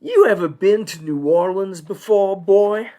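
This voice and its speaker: A man's voice: sits high, unhurried, mellow, voice pushed up. A man voicing a pompous, clubby good-old-boy judge, in a live-told story. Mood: scornful, suspicious. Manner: drawling, incredulous, clubby.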